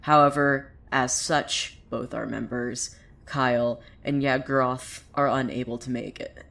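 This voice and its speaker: An unhinged cult preacher played by a woman in an audio drama, with an extremely low voice for a woman. The delivery is slow and theatrical, swinging from hushed, bitter brooding about visions to manic intensity. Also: steady tone, vocal fry, heavily acted. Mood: wry, preachy. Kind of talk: dramatic monologue in an audio fiction podcast.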